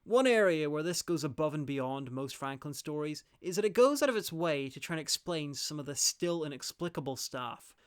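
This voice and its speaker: A man's voice: fairly high pitch, smooth. A man narrating a scripted review in a wry manner.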